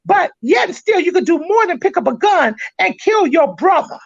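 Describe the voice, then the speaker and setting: A woman hosting a church-minded talk show, speaking with fervent, preacher-like passion that climbs to shouted exhortation. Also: very high-pitched, sing-song, moderate pace, creaky, no room sound. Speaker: podcast host. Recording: dry, dull lo-fi sound.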